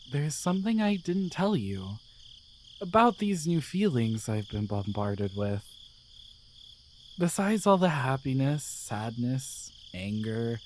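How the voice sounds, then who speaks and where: A performer voicing a gentle, devoted guardian angel in a romantic ASMR roleplay, speaking tenderly and hesitantly with earnest pauses. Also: medium pitch, a little hiss or hum. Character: gentle, devoted guardian angel, newly feeling, shyly in love.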